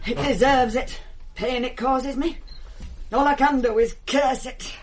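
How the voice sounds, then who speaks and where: An actress playing a cantankerous, proud old British widow in a radio play, grumbling and blunt. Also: female voice, high voice, steady tone, unhurried, slight background noise. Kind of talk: radio drama acting.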